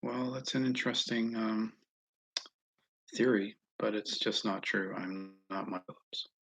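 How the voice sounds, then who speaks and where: An American man chatting casually and haltingly on a livestream, often restarting his sentences as he thinks aloud. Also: fairly low pitch, fairly even intonation, a bit bright, husky, soft background music, low-quality recording. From Northeast United States, mostly Massachusetts. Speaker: livestream host answering viewer comments. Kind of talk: casual rambling livestream chat.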